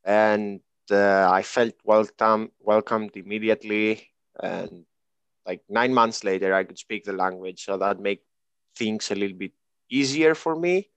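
A man in his twenties from Greece, a guest talking through his life story in a relaxed, reflective way, with pauses and fillers. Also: lowish voice, smooth, dry recording, highs cut off. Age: 20s. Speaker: interview guest. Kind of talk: casual conversation.

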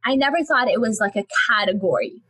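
A woman hosting a casual interview, chatty and quick, often restarting her sentences. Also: on the high side, bright, forceful tone, breathy edge, no room sound. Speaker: interview host.

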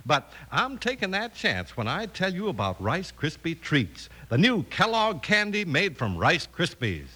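An American man reading a folksy 1950s radio commercial as a pitchman. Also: wildly swooping pitch, unhurried, hardly any pauses, sharp tone, husky, poor audio. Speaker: radio advertiser.

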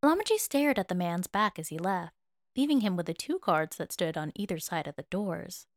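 A woman reading scripted fiction as narrator, in a measured, expressive manner. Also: lowish voice, no echo, clean studio sound. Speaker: narrator, fan fiction reader.

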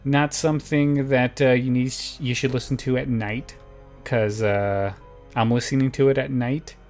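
A man's voice: mid-range voice, fairly even intonation, measured pace, darkish tone. Male podcast host, mid-range voice, laid-back and chatty, informal rambling, light music bed. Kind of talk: casual chat to listeners. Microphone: close podcast microphone, wearing headphones.

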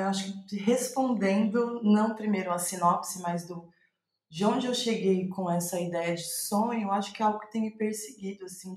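A woman with a Brazilian Portuguese accent in a reflective interview, thinking aloud haltingly and trailing off. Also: mid-range voice, a little mellow, reverberant. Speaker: playwright, podcast guest.

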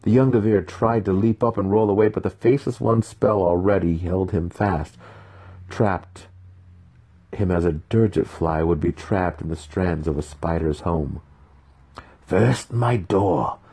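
A man's voice: low-pitched, fairly even intonation, normal speed, dark tone, breathy edge. A man reading fantasy fiction aloud as a dramatic scripted narration. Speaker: audiobook narrator.

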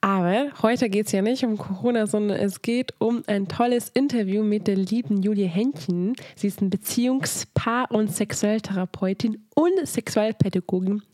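A woman's voice: mid-range voice, moderate pace, few pauses, mellow, no room sound. Bubbly sick woman hosting a podcast. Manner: bubbly, gushing, chatty. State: sick, voice strained. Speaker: podcast host. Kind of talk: casual podcast chat. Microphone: video call.

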